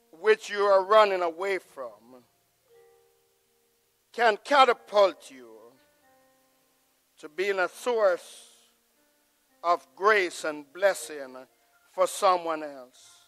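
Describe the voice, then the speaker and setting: Pastor guy at a church pulpit, really high bright voice, drags every word out slow, booms then goes quiet. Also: no echo, soft background music. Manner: preaching with loud surges, then hushed prayerful close. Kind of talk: sermon. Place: large church sanctuary with choir behind. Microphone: pulpit mic.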